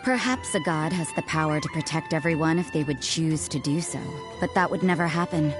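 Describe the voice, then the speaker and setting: A woman voicing an aloof, cynical young nun in a video game, with a cool, languid, deadpan delivery. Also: sits low, brisk, natural voice. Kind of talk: scripted game voice lines. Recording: music behind the voice.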